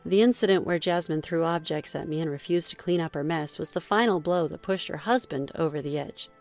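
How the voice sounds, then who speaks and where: A woman reading a scripted first-person story in a measured read-aloud manner. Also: low voice, a little mellow, soft background music. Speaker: story narrator.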